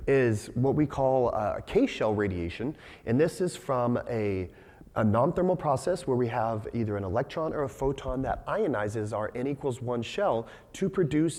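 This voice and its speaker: A young man gives a scientific talk, his voice hoarse and raspy as he has been losing it. He speaks in a measured way with frequent fillers. The sound carries the long reverb of a lecture hall. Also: mid-range voice, darkish tone. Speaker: PhD candidate presenter.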